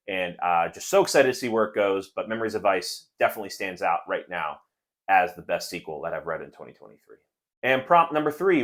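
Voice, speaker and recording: Low-voiced man chatting about books. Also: fairly bright tone. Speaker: book reviewer and video host. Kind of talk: casual book chat to viewers.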